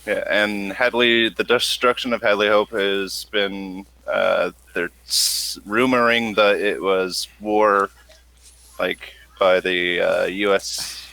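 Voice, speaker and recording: A man narrating a tabletop role-playing game as game master, with a halting, stop-start delivery. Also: lowish voice, fairly even intonation, gravelly, sharp tone, no echo, rough recording.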